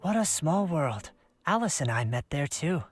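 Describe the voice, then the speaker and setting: Cool young artist, husky edge. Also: male voice, fairly high pitch, sing-song, normal speed, faint music behind. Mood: easygoing. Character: laid-back, confident young male famous artist. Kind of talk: visual novel voice acting.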